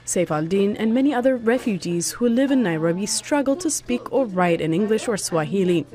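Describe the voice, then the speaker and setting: A woman's voice: medium pitch, expressive, brisk, dark tone. A woman narrating a scripted news report.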